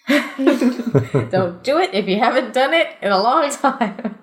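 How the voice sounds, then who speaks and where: A woman's voice: on the high side, animated, slow pace. A woman hosting a comedy podcast, mixing casual chat and reading aloud with giggly, self-deprecating asides.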